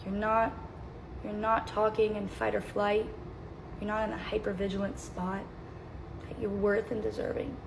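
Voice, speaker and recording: A woman speaking in an earnest, halting way in a personal video message, often repeating herself. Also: medium pitch, vocal fry, background noise. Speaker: social media poster.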